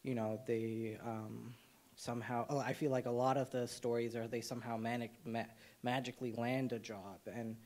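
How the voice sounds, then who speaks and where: A young man from Nepal sits on a panel of filmmakers, speaking at an everyday mid pitch. He talks reflectively, with plenty of "um"s and restarts as he finds his words. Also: little pitch movement, normal speed, a little mellow. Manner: hesitant, many fillers and restarts. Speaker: documentary cinematographer, panelist. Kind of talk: panel discussion.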